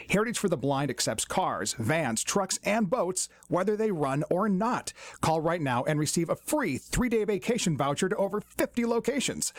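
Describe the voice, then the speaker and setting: Male announcer for a radio spot, scripted delivery, rather high pitch, very varied intonation. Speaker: advert announcer. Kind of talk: scripted radio charity appeal.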